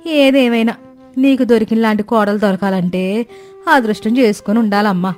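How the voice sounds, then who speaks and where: A woman's voice: a little high, moderate pace, a little mellow, soft background music, lightly acted. A woman voicing a chatty, grumbling older village neighbour in a cartoon moral story.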